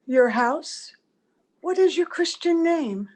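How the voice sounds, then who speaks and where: A woman's voice: high voice, lively intonation, takes it slowly, a little mellow. A woman playing a strange, weary, evasive young woman in an old-time radio drama. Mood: hesitant, yearning. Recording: home video-call audio, music behind.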